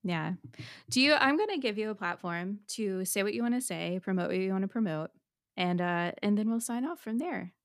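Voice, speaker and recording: A woman hosting a podcast in casual interview chat, with a quiet voice, chatty and quick to laugh. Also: medium pitch, expressive. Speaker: podcast host.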